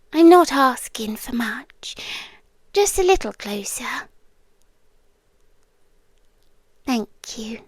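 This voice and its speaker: Woman acting as possessive demon girlfriend, British accent, high-pitched, whispery, halting, tenderly menacing, very dry close-mic ASMR roleplay. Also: no echo, heavily acted. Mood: wistful, pleading. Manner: breathy whispering, halting, drawn-out delivery. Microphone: close, dry ASMR microphone.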